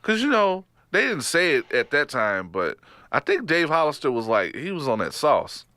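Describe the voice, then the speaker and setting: An animated man with a Texas accent co-hosts a podcast. His voice is bright and forward, with lively swings in pitch, and he jokes his way through the talk and restarts his sentences. He sits close to a dry studio mic. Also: normal speed, no echo. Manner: animated, joking, laughs often, trails off and restarts. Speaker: podcast co-host. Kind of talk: casual comedic chat.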